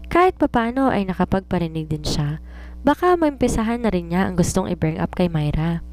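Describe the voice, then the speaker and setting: A woman's voice: lowish voice, sing-song, brisk, darkish tone. A woman reading romance fiction aloud as a scripted third-person narrator. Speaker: storyteller.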